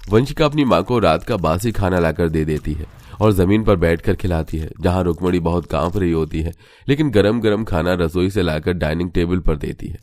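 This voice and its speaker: A man's voice: sits low, moderate pace, a little mellow, no echo. A man narrating a scripted cartoon story.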